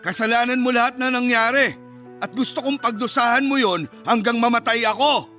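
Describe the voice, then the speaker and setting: A man's voice: very high-pitched, a little fast, bright, forceful tone. An actor playing a cold, resentful middle-aged father in a Filipino radio drama, speaking in a curt, scornful, accusing way. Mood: furious, accusing. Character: cold, resentful, harsh father of a grown son. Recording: music bed behind the voice.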